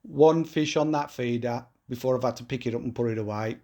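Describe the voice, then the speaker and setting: A man's voice: medium pitch, a little fast, dark tone. Casting note: male match angler, stuffy from a cold, mid-range voice, plain matter-of-fact running commentary. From England. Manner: matter-of-fact, talks through his tactics step by step. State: congested from a cold. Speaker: match angler vlogging his match. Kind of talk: casual explanatory commentary while fishing. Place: outdoors at a fishing lake.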